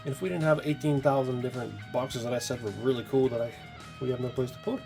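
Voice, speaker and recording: A man hosting a casual toy review, relaxed and enthusiastic. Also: medium pitch, fast talker, gravelly, over music.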